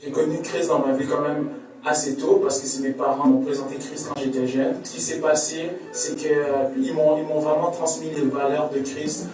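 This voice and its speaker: A man's voice: fairly high pitch, moderate pace, distant mic. Earnest young man in a very echoey room. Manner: earnest, flat, slightly hesitant. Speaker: young church member. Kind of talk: recorded youth testimony. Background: music.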